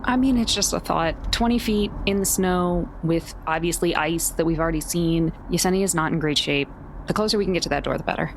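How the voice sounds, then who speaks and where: A woman's voice: fairly low pitch, brisk, slight background noise. A woman playing a tabletop roleplaying game, talking through her options in a casual, conversational way.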